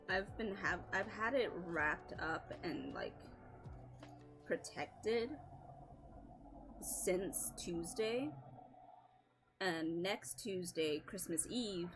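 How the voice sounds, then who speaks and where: Woman streamer, scratchy voice, low-pitched and unhurried, casual and apologetic, music playing behind her. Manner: casual, apologetic, swears and laughs often. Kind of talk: casual live-stream chat.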